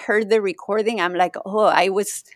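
A woman from Mexico, a native Spanish speaker, talking as a podcast guest in a thoughtful, gentle way and laughing often. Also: mid-range voice, steady tone, moderate pace, dry recording, clean studio sound. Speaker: podcast guest, yoga teacher and health coach. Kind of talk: casual interview conversation.

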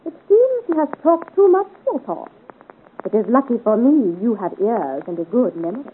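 A woman's voice: fairly high pitch, big pitch swings, normal speed, narrow, tinny audio. An actress playing a haughty, scheming noblewoman with a commanding, theatrical delivery, in a 1950s radio serial on a thin, noisy recording. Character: imperious, scheming French noblewoman.

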